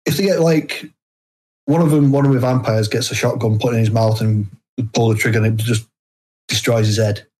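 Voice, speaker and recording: A man from the UK with a British accent, an author being interviewed, explaining things casually and haltingly. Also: lowish voice, a little mellow. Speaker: guest, indie horror author.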